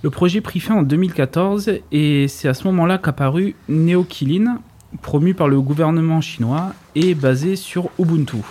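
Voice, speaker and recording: A man's voice: a little high, dark tone. Man reading a segment with dry irony. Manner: dry, ironic asides. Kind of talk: reading a prepared tech segment.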